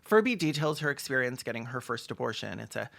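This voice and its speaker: A video commentator giving sarcastic, comedic film commentary with deadpan asides and mock-dramatic emphasis. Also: mid-range voice, quick pace, gravelly.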